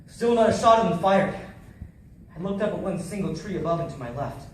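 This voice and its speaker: A man's voice: sits high, animated, fairly quick. Man acting a haunted soldier, very echoey recording. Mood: haunted, grim. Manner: theatrical, intense, swinging from hushed to shouting. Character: haunted Navy SEAL survivor recounting a deadly battle. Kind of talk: dramatic first-person monologue. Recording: very echoey, distant, faint noise.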